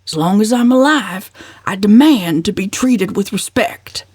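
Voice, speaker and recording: A woman's voice: medium pitch, lively intonation, unhurried, a little mellow, no echo, clean studio sound. A woman voicing a pompous, indignant older uncle with a growling, self-important delivery in a storybook reading. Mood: stern, indignant.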